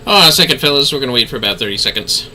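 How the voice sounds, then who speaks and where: A man's voice: mid-range voice, animated, rapid-fire, pushed and bright, slight background noise. A man hosting a gaming livestream with casual, easygoing, chatty talk to his viewers. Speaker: livestreaming gamer host.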